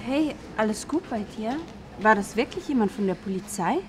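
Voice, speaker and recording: A woman's voice: mid-range voice, animated, moderate pace, lightly acted. A young woman playing a confident, teasing newcomer in film dialogue, with a playful, forward manner. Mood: curious. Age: young adult. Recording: clearly noisy location background.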